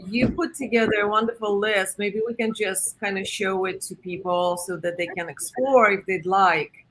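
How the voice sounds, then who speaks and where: A woman's voice: mid-range voice, fairly even intonation, moderate pace, a bit bright, rough recording. A woman hosting a casual interview as the interviewer. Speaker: interviewer, host.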